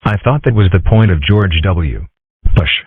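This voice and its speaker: A man voicing deadpan, husky male animal characters in a comic strip dub. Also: deep voice, unhurried, dry recording, exaggerated voice. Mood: wry. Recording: muffled phone-like band.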